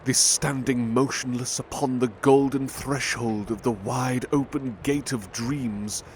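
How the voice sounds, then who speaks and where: A man recites poetry very slowly, lingering over each line in a gentle, expressive voice. His pitch sits in a middle range, and a faint background noise hangs beneath the recording. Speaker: ASMR butler roleplay reader. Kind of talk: slow ASMR poetry reading.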